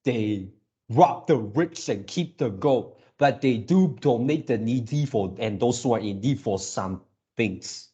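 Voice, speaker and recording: Man, video creator, unhurried delivery, relaxed tone, casual enthusiastic fan reactions. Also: mid-range voice, breathy edge, lo-fi sound.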